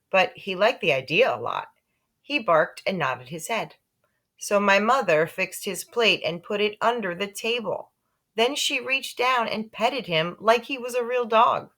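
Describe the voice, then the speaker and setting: A woman reading a children's book aloud in an expressive storytelling style. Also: lowish voice. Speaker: story reader.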